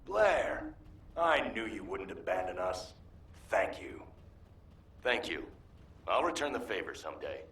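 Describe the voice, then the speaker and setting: An actor playing an earnest, politely persuasive field worker in a video game, explaining things in a measured way. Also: male voice, low voice, sing-song, fairly bright tone, reverberant, exaggerated voice. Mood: grateful.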